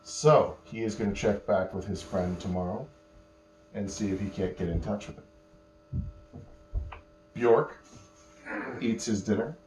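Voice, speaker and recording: High-voiced man telling a bedtime story, roomy echo. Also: darkish tone. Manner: explains words patiently, pauses mid-phrase. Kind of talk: improvised bedtime storytelling to children. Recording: roomy echo, faint electrical hum.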